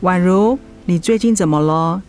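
A woman playing a caring high school homeroom teacher in a radio drama, patient and coaxing, with a Taiwanese Mandarin accent. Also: normal speed, soft background music, natural voice. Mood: gentle concern. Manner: gentle, coaxing.

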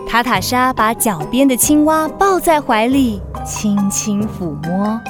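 A woman's voice: a little high, expressive, a little slow, over music. A woman narrator reading a scripted children's story in an audio drama.

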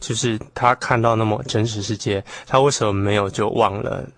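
Low-voiced man, Taiwanese Mandarin, dry sound. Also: steady tone, fairly quick, creaky, no echo. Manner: analytical, explanatory. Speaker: discussion panelist and story writer. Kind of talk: thoughtful discussion.

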